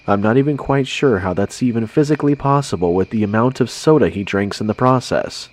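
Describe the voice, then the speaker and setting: A man's voice: mid-range voice, brisk. A man reading a first-person scary story in a conversational narration style.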